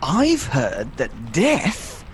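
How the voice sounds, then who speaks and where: A man's voice: mid-range voice, wildly swooping pitch, extremely slow, a little hiss or hum, full character voice, rough recording. A man acting a mild, sheepish husband and father in a comic radio play, hesitant and bantering. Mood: persuasive. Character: hapless, mild-mannered husband and father, freshly dead.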